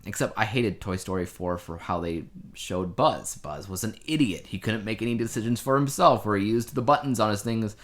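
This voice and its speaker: A man's voice: lowish voice, moderate pace, a bit bright. A man co-hosting a podcast, chatting casually in a rambling, opinionated way about the news. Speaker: podcast co-host.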